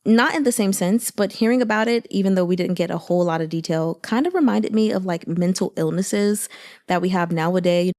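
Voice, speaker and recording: A woman's voice: fairly low pitch, fairly quick, darkish tone. A woman chatting informally and expressively about a book, in a casual commentary style full of asides.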